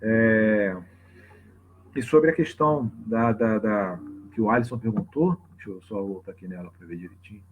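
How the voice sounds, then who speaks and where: A man with a husky voice and a Brazilian Portuguese accent, explaining things informally and off the cuff to a livestream audience, often checking in with tag questions. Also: fairly low pitch, normal speed, slight background noise. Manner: conversational, frequent tag questions. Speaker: religious teacher hosting a live open class. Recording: slightly dull lo-fi livestream audio.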